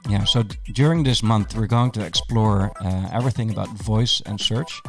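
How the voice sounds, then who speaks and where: A man's voice: lowish voice, moderate pace, faint music behind. A man hosting a podcast, introducing and interviewing in a conversational style. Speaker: podcast host.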